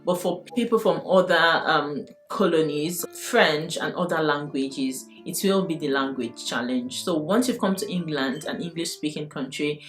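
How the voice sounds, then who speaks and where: A woman's voice: low-pitched, moderate pace, few pauses. A woman from Nigeria with a Nigerian accent, giving earnest, encouraging advice in a vlog. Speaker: vlogger. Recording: faint guitar music behind.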